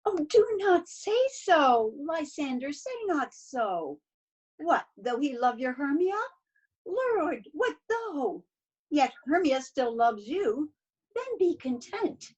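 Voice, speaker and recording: A woman's voice: high-pitched, big pitch swings, takes it slowly, a little mellow, full character voice, low-quality recording. A woman playing a desperate, self-pitying young Athenian woman in a stage verse read-through over a video call, plaintive and theatrical. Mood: hurt, indignant.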